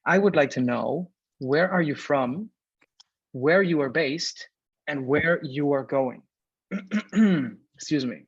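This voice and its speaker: A man's voice: on the high side, lively intonation, takes it slowly, pauses often, husky, dry recording. A man from Venezuela in his thirties, hosting a live webinar over a video call, chatty and full of fillers as he reads out chat messages. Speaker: webinar host. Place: home or office room in Berlin. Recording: dull, dry video call audio.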